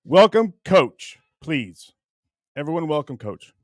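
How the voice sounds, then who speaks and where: A man hosting a casual comedy show, talking straight to viewers in a halting, stop-and-start way. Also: a little high, sing-song, no echo, clean studio sound. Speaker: show host introducing a puppet bit.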